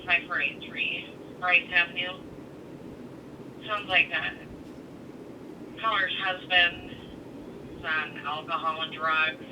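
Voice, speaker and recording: A woman's voice: lowish voice, steady tone, slow pace, long pauses. A woman dispatcher speaking in terse radio calls through a scanner speaker. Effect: two-way radio through a scanner speaker. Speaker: police and fire dispatcher. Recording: noisy scanner radio heard from a distance.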